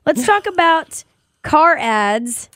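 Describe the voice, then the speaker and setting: A woman's voice: sits high, big pitch swings, slow pace, fairly bright tone, no echo, studio quality. A woman co-hosting a casual weekend radio show, upbeat, going into breaks and reading out phone numbers. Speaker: radio co-host.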